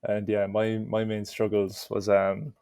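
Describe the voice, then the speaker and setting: A man's voice: fairly low pitch, completely flat delivery, unhurried, dark tone, clean tone, no echo, clean studio sound. A young Irish man answering interview questions in an easygoing way, with lots of "you know". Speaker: interview guest.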